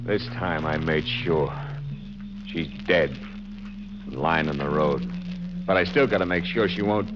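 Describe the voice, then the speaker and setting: An actor playing a desperate, guilt-haunted murderer in an old radio horror drama, narrating in halting, melodramatic phrases. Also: male voice, a bass voice. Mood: grim, haunted. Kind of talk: 1940s radio horror acting, first-person narration. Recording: noisy, dull old-time radio recording.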